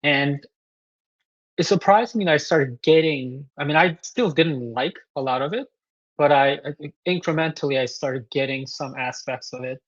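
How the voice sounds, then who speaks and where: A composer from South Korea with a Korean accent, answering interview questions thoughtfully with many fillers and restarts. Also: male voice, on the high side, normal speed, dry recording, dull audio. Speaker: guest composer.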